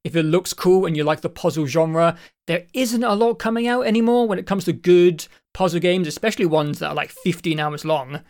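A man co-hosting a casual podcast about games, his voice croaky because his throat won't clear, rambling along with restarts and the odd swear. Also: high-pitched, a little fast, a little mellow. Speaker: podcast co-host. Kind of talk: casual chat.

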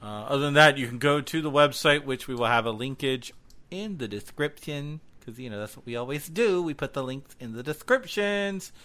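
A man's voice: mid-range voice, expressive, a little fast, bright, forceful tone. A man co-hosting a podcast, making casual announcements with jokey, playful asides. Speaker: podcast co-host.

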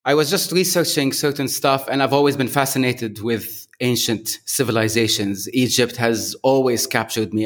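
Guy from lebanon on a podcast, friendly and measured, lots of ums. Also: medium pitch, fairly bright tone. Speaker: podcast guest. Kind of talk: casual interview talk.

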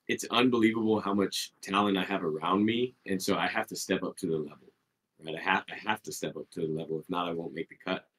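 A man's voice: low-pitched, poor audio. A man speaking as a podcast guest, answering earnestly and rambling a bit, often tagging his points with "right?". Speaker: podcast guest, team player. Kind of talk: casual interview answers.

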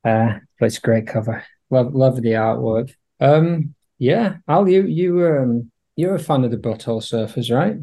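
Man, soft mellow tone, mid pitch, enthusiastic and opinionated, casual music podcast chat. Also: normal speed, hardly any pauses.

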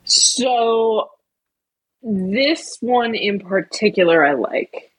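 A woman's voice: medium pitch, extremely slow, breathy edge, poor audio. A woman co-hosting a podcast, speaking thoughtfully and hesitantly with many ums. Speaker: podcast co-host. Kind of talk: casual podcast discussion.

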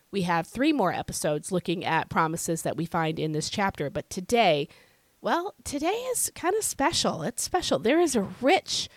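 A woman hosting a devotional podcast, her voice scratchy and hoarse from illness, teaching in an earnest, conversational way. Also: on the low side, no echo. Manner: earnest, conversational, with fillers and repeated phrases. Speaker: podcast host.

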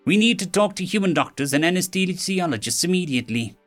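A man voicing a stern, commanding female alien head nurse in a storybook reading, raising his voice high above his own. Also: expressive, fast, faint music behind. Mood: caring. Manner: commanding, urgent.

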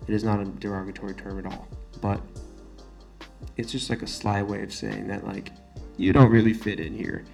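A young man in his late teens from Singapore speaks with an American-sounding accent in a low, somewhat gravelly voice. He hosts a solo podcast earnestly, stressing and repeating his main points. Faint music plays underneath. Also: level tone, moderate pace, darkish tone. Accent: American-sounding, not Singaporean. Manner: earnest, emphatic, repeats key phrases. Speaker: student podcast host.

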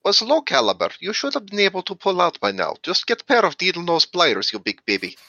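A man's voice: high-pitched, huge pitch swings, fast talker, pushed and bright, no echo, lo-fi sound, full character voice. A man voicing a blunt, pushy Russian woman mercenary who speaks broken English.

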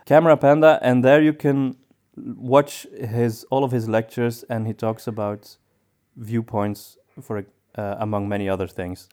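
A man's voice: mid-range voice, fairly even intonation, unhurried, darkish tone, clear, resonant tone. A man hosting a podcast, talking casually in a hesitant, conversational way. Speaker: podcast host.

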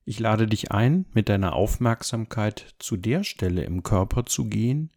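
A man leading a guided meditation as an instructor, speaking calmly and gently in measured, unhurried phrases. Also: fairly low pitch. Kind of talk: guided body scan meditation, soft instruction.

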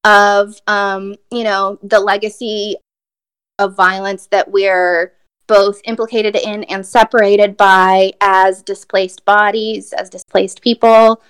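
A woman's voice: medium pitch, little pitch movement, slow pace, fairly bright tone, clean tone. A woman poet from Brazil giving a reflective, thoughtful interview answer, with many fillers and restarts.

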